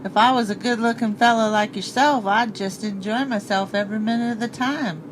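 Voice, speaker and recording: Brash young female hitchhiker, played by an actress, radio play, slangy, sassy, skeptical, higher pitch, faint noisy ambience. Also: steady tone, brisk, lightly acted. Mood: breezy, indifferent.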